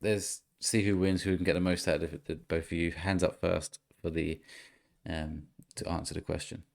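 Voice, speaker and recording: Male podcast host, very low and gravelly, casual quiz-master delivery. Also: flat delivery, normal speed, darkish tone.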